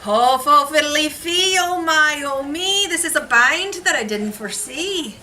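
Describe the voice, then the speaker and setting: A woman's voice: high voice, lively intonation, unhurried, sharp tone, pitched above the performer's own voice, exaggerated voice. A woman voicing a sneaky, mischievous storybook leprechaun who teases in sing-song rhyme, recorded from a distance in a noisy room. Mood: flustered. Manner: teasing, sing-song rhyming. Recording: noisy classroom, distant mic.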